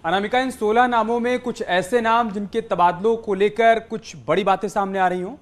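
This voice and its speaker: A man's voice: sits high, fairly even intonation, normal speed. A man presenting television news as an anchor.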